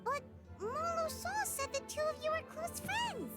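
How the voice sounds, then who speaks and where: A woman's voice: extremely high pitch, big pitch swings, unhurried, loud music behind the voice, full character voice. A woman voicing a tiny childlike floating companion in a video game, inquisitive and blunt. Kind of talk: video game dialogue acting.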